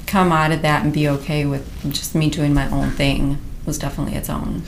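Low-voiced woman, candid and chatty. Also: level tone, a little fast, vocal fry, a little hiss or hum. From United States. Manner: laughs often, candid and chatty. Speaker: immigration attorney, podcast guest. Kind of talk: casual interview conversation.

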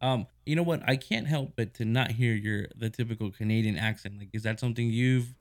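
Man, mid pitch, California host, casual and easygoing with some stammering. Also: a little fast. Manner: easygoing, chatty, stammers on restarts. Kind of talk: casual conversation.

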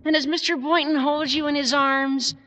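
An actor playing an eager, scheming high school boy with a squeaky adolescent voice and earnest, dramatic persuasion in old radio comedy style. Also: flat delivery, moderate pace, bright, forceful tone. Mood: dreamy, rapturous. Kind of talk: 1940s-50s radio comedy acting.

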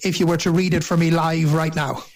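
Irish man, radio host, slightly high voice, very even delivery. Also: brisk. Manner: effusive, formal courtesies. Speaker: radio presenter. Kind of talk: radio interview.

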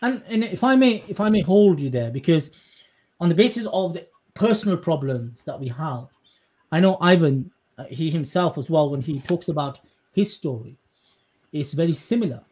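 Higher-voiced man, effusive podcast host. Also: animated, moderate pace, darkish tone. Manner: effusive, emphatic, wordy. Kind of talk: conversational interviewing.

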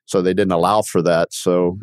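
A man in his sixties from Miami, born in Cuba, telling his life story as an interview guest in a halting, self-correcting way. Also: low voice, flat delivery, clear, resonant tone, no echo, studio quality. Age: 60s. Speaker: podcast guest, company founder.

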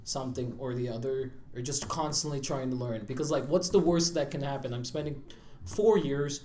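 A man's voice: mid-range voice, moderate pace, a little mellow. A young man from California and India, a college student, chatting casually as a podcast guest and swearing freely. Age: young adult. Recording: roomy echo, clear background noise.

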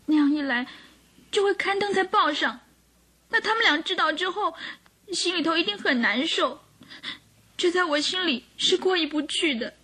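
An actress playing a gentle, sorrowful young governess, earnest and emotional, in a radio drama. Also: female voice, very high-pitched, normal speed, pauses often. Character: gentle, sorrowful young governess under suspicion, pleading tearfully.

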